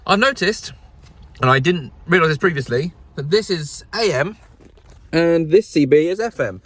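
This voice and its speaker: A man's voice: fairly high pitch, animated, normal speed, fairly bright tone, dry recording. A man with a British accent chatting casually to camera with wry, understated humour, as a radio hobbyist vlogger. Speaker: radio hobbyist vlog host. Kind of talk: casual vlog chat to camera. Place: inside a parked pickup truck.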